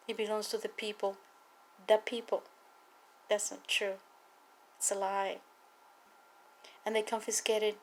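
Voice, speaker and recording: A woman from Cuba with a Cuban accent, a native Spanish speaker, giving an earnest, emphatic personal testimony and political appeal with deliberate repetition. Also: mid-range voice, a little hiss or hum. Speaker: political activist speaking to viewers.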